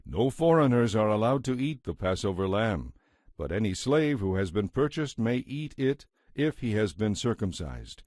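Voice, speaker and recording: Casting: male narrator for scripture, lower register, measured and solemn, close dry studio sound. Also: big pitch swings, a little mellow, no echo. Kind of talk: scripted narration, dramatized Bible reading.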